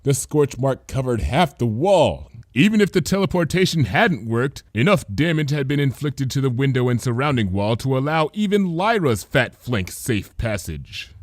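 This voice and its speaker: Expressive man narrating a comic story. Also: medium pitch, normal speed, few pauses, dry recording, clean studio sound. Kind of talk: scripted comedic story narration.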